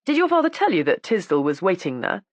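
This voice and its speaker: A woman reading the part of a courteous, dry-witted male police inspector who speaks calmly and politely. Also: on the low side, fast talker, dry recording, lo-fi sound. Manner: calm, polite and wry.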